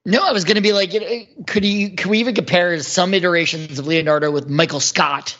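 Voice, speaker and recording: A man's voice: high voice, sharp tone. Dull-sounding man in casual podcast discussion. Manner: halting, rambling, repeats words. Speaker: podcast panelist. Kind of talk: casual pop-culture discussion. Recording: dull lo-fi audio.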